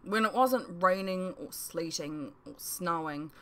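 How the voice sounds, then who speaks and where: Female author-narrator with a lowish voice for first-person novel narration. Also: slow, bright, forceful tone. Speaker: author reading her own audiobook.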